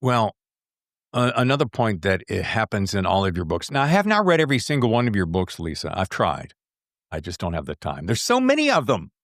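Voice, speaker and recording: Male interviewer, American. Very animated intonation, casual asides, close dry sound. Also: lowish voice, brisk, dry recording. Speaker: podcast host, interviewer.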